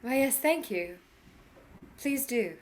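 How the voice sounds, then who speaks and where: A woman playing a delicate, troubled young gentlewoman in a radio play, earnest and dramatic in delivery. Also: a little high, lively intonation, takes it slowly. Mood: polite insistence. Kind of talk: theatrical radio play acting.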